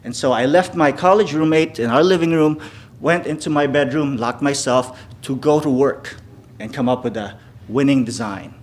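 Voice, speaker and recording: A man's voice: mid-range voice, normal speed. Man telling personal stories to a crowd. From Philippines. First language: Filipino. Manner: keeps checking in with the crowd with "okay?". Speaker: speaker. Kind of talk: personal storytelling talk to a live audience. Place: venue before a live audience.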